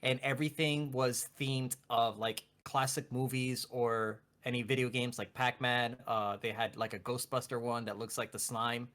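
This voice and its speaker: A man's voice: medium pitch, flat delivery, normal speed, few pauses, a bit bright. A man chatting casually as a podcast co-host, joking and teasing in a loose, sweary way. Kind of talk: casual chat on a gaming podcast.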